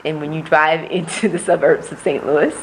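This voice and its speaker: A middle-aged woman from Puerto Rico, raised in Missouri, answering interview questions as a political candidate, measured and reflective with frequent pauses. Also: sits low, fairly even intonation, a little hiss or hum, low-quality recording.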